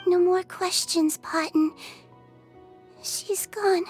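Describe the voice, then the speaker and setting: Sweet little melusine nurse girl, super high squeaky voice, soft and calm, game cutscene. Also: slow pace, faint music behind. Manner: soft, calm and kindly reassuring. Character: gentle young female melusine nurse. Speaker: head nurse.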